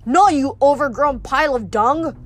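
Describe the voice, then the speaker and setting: A woman voicing a defiant young warrior girl in a storybook, blunt and yelling. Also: high voice, sing-song, normal speed. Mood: furious defiance.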